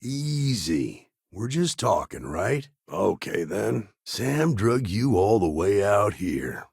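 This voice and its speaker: Gravelly American lawman, wary and dry. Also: on the low side, big pitch swings, slow, darkish tone, no room sound, heavily acted. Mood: wary, guarded. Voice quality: gravelly, husky. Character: gruff, streetwise frontier lawman, wary but fair. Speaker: space ranger lawman in a video game. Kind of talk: video game character dialogue.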